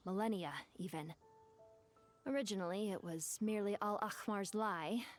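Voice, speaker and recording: A woman's voice: mid-range voice, normal speed, close to an everyday voice. Actress doing ancient djinn woman from a game, grand n wistful, formal theatrical talk, music playing behind. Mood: poised, wistful. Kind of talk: video game voice acting.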